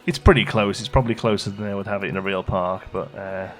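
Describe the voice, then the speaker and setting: A man giving casual, low-key gameplay commentary as a video host, thinking aloud and muttering to himself as he works. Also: fairly even intonation, fast talker, a bit bright, a little hiss or hum. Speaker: let's play video host.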